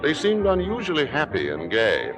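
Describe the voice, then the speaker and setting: An actor playing a suave, worldly theater critic who speaks in urbane, deliberate, formal phrases in an old-time radio drama. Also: male voice, on the high side, wildly swooping pitch. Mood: smooth narration. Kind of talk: 1950s radio drama acting and narration. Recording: old radio broadcast, reverberant, music behind.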